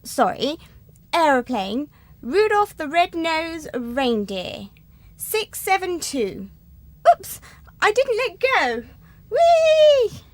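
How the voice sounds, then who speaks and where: A very high, swooping voice belongs to this dotty aristocratic duchess. She is grand and scatterbrained, with a playful streak, in the manner of radio comedy. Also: huge pitch swings, takes it slowly, dry recording, exaggerated voice. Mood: grandly dotty.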